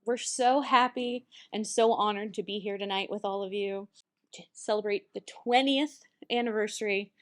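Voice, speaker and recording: A woman's voice: medium pitch, fairly even intonation, moderate pace. A woman hosting a poetry slam at a public library, welcoming the audience and introducing the featured poets with upbeat energy. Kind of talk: emcee introduction to a live audience. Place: public library event room.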